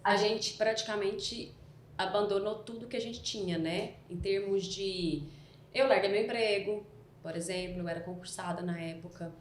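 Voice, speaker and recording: Woman, softened Mineiro accent, rambling storyteller, echoey room, faint ambience. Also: medium pitch, normal speed, a little hiss or hum. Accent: Mineiro Brazilian Portuguese, softened. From Minas Gerais, Brazil. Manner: rambling, self-correcting storyteller. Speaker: guest, entrepreneur. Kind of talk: casual podcast chat.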